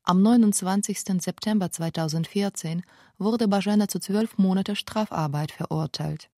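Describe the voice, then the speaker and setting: A woman's voice: medium pitch, normal speed, warm tone, no room sound, studio quality. A woman narrating a scripted radio documentary feature.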